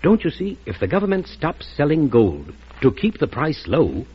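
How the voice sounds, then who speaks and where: An actor playing a cool, scheming financier in an old-time radio drama, with a husky voice. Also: male voice, on the high side, wildly swooping pitch, moderate pace, full character voice. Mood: smooth, scheming. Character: cool, calculating male financier, scheming businessman. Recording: muffled vintage radio recording.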